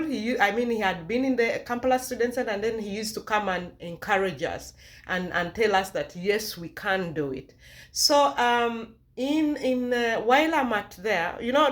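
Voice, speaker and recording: A woman vlogger from Uganda with a Ugandan accent, sharing a personal testimony with viewers in an emphatic way, repeating phrases to encourage. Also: fairly high pitch.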